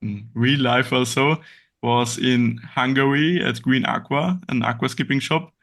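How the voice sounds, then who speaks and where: Austrian man in halting English. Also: mid-range voice, a bit bright. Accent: Austrian German. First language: German. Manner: halting English, searching for words, frequent restarts. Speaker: guest. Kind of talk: casual interview chat.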